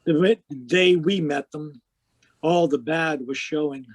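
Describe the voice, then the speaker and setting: A man's voice: a little high, a little slow, no echo. A man playing a cynical, world-weary private eye in 1940s radio style, with a terse, clipped manner. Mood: cynical recounting. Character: hard-boiled male private eye, wry and cynical. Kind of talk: 1940s radio detective acting and narration.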